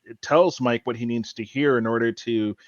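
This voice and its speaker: A man co-hosting a podcast, casually discussing a TV show and haltingly thinking aloud as he explains. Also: medium pitch, fairly even intonation, no echo, highs cut off. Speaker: podcast co-host.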